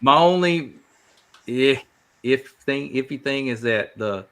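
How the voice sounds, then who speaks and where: A man's voice: medium pitch, animated, slow, fairly bright tone, clean tone. A man chatting casually as a podcast co-host. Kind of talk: casual chat.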